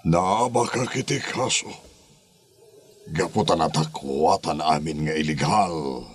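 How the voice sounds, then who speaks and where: A man's voice: a bass voice, takes it slowly. A man playing a grave, remorseful father with a crooked past in a melodramatic audio drama. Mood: regretful, defeated.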